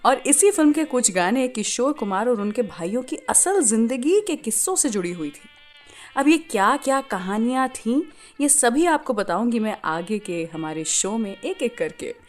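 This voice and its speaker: Woman, Indian accent, radio host, fairly high voice, lively intonation, conversational storytelling, English phrases mixed in. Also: moderate pace, soft background music. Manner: addresses listeners with rhetorical questions, mixing in English phrases. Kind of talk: scripted radio show narration, conversational storytelling.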